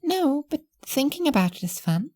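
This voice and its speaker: A woman's voice: high voice, big pitch swings, moderate pace, warm tone. A woman voicing a playful, morbidly curious young girl who lives by thieving, breezy in manner.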